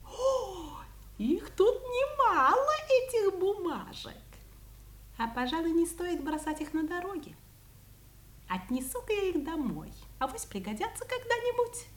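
An actress playing a cunning, self-satisfied female fox in a children's audio play, teasing and mock-polite in a theatrical way. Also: extremely high pitch. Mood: musing, pleased. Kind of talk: 1970s Soviet audio play acting for children.

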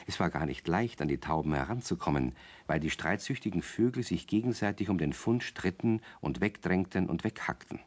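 Deep, animated male storyteller. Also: brisk, hardly any pauses. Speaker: narrator. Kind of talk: scripted storytelling narration for children's radio play.

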